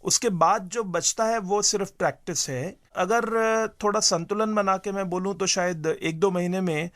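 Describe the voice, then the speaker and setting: A man giving measured, halting explanations as an interview guest, mixing in English terms. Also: high voice, fairly bright tone.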